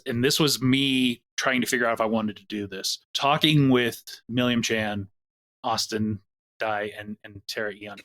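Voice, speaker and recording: A man's voice: medium pitch, a bit bright. Candid male podcast producer. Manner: candid, repetitive restarts. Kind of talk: casual interview talk.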